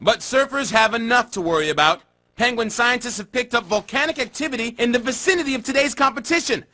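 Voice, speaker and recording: A man voicing an excitable penguin surf reporter in a video game, delivering dramatic sports news like a surfer dude. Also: very high-pitched, fast, a little hiss or hum, exaggerated voice. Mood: urgent reporting. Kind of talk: TV sports news broadcast parody. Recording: loud music behind voice.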